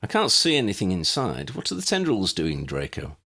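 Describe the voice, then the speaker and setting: A man voicing a calm, fearless adult male wizard investigator in an audiobook, casual and reassuring. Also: low voice, normal speed, breathy edge, full character voice.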